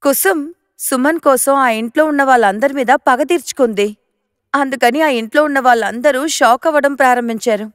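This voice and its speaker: A woman's voice: high voice, brisk, smooth, no room sound, clean studio sound. A woman narrator reading a scripted moral story.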